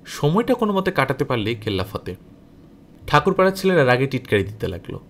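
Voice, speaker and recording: A man reading a novel aloud as an audiobook narrator, in an expressive, dramatic storytelling style. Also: mid-range voice, quick pace.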